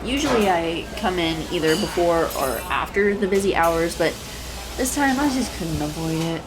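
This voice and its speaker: A woman's voice: fairly low pitch, animated, moderate pace, natural voice. A woman playing a shy, adorkable young writer in an audio roleplay, speaking hesitantly with stammers, nervous giggles and apologetic phrasing. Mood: chatty, self-conscious. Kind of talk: intimate one-sided audio roleplay acting. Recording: clear background noise, cafe ambience effects. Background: coffee shop sound effects.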